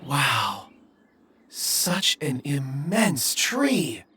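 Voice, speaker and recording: Kun Jun, mild, animated stone expert. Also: male voice, mid-range voice, slight background noise, full character voice. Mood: curious. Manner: measured, hesitant, musing. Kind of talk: video game dialogue acting.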